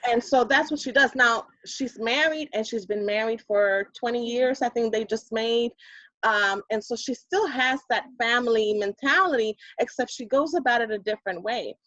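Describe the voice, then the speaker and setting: A woman's voice: on the high side, moderate pace, fairly bright tone, dry recording. A woman in her thirties with a New York Puerto Rican accent, chatting animatedly as an interview guest. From Bronx, New York. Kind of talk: casual interview chat. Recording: dull lo-fi audio.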